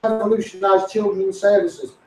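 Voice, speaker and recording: A man's voice: sits high, level tone, normal speed, faint music behind. A man with a Scouse accent making an insistent, repetitive campaign appeal to live viewers, directly addressing those in power. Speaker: campaigning video host. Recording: echoey, distant from the microphone.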